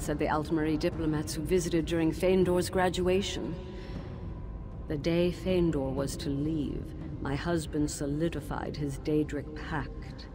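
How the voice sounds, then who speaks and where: A woman voicing the shade of an elven mage teacher, regal and sorrowful, who turns stern and shrill when she scolds. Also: noisy background. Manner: solemn, measured and regal; shrill scolding in memories. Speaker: video game character. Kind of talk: game cutscene voice acting. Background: game music.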